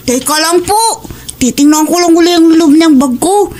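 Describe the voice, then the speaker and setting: Very high-pitched schoolboy played by a woman. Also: normal speed, darkish tone. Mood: polite, obedient. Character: obedient young schoolboy son. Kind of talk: audio drama acting.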